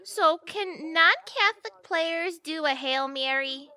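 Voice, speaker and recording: A woman's voice: extremely high pitch, slow, background noise. A woman playing a bubbly, naive, ditzy young woman in a comedic audio play. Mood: bubbly, clueless.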